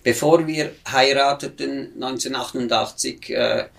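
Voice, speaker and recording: A middle-aged man from central Switzerland with a Swiss German accent, calmly telling his story as a missionary guest in a studio podcast interview. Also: mid-range voice, creaky. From central Switzerland, near Bern. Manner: calm, unhurried storytelling with fillers.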